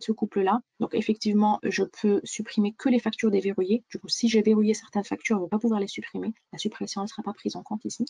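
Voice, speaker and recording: Woman presenting a software demo, dry sound. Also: mid-range voice, steady tone, fast, gravelly, few pauses, breathy edge, no echo. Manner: explanatory, step by step. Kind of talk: webinar software demonstration.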